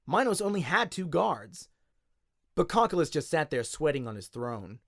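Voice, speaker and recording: A man with an Australian accent giving an expressive, dramatic audiobook narration in radio-play style. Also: on the high side, normal speed, no echo, studio quality. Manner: expressive storytelling.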